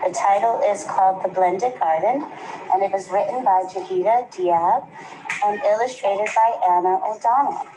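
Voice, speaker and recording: Female preschool teacher for a storybook read-aloud, mid-pitched, engaging children with questions, slight background noise. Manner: pauses to ask the children questions. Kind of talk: read-aloud of a picture book to young children.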